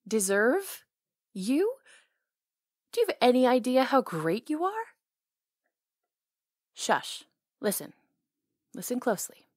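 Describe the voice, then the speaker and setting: A woman playing a flirty, tender salon owner with a crush, speaking softly and intimately in an ASMR romantic roleplay, teasing, pausing and sometimes near a whisper. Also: fairly high pitch, no echo, clean studio sound, full character voice. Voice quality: soft, breathy, sometimes whispery. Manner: teasing, hesitant pauses, intimate.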